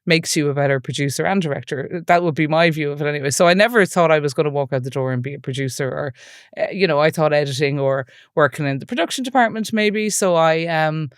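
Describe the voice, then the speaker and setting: A woman's voice: low-pitched, fast talker, clean tone, no echo, studio quality. A woman in her forties from the west of Ireland, an Irish speaker, with an Irish accent, chatting as an interview guest and telling stories full of asides. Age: 40s. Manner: chatty storytelling, many "like" and "you know" asides. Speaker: film producer, interview guest. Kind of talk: relaxed podcast interview.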